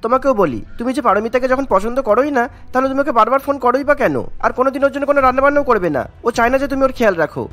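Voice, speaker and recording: Man playing a rapid-talking, fed-up cartoon husband. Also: extremely high pitch, lively intonation, fairly bright tone. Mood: fed up, scolding. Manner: reproachful, lecturing. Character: stern, fed-up young husband and son.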